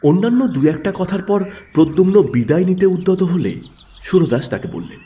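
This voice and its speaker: A man's voice: high voice, animated, normal speed, warm tone. A man narrating a literary story in a scripted audiobook style.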